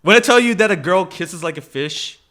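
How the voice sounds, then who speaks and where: A man's voice: sits high, lively intonation, brisk, sharp tone. A man co-hosting a podcast, telling stories in casual, slangy talk and often repeating his phrases. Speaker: podcast co-host. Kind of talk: casual chat and anecdote telling.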